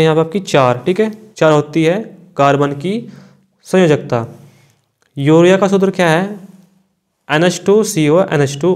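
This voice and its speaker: A man's voice: on the high side, a little mellow. A man, an exam coaching teacher reading out quiz questions and giving answers in an informal, brotherly way.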